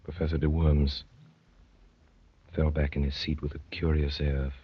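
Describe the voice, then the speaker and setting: An actor playing an intense undercover detective, measured and theatrical, with a husky voice, in 1930s radio drama style. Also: male voice, extremely low pitch, little pitch movement. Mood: tense narration. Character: intense young undercover detective, narrating his own adventure. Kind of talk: 1930s radio acting, dramatic first-person narration. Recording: dull, muffled old 1930s radio recording.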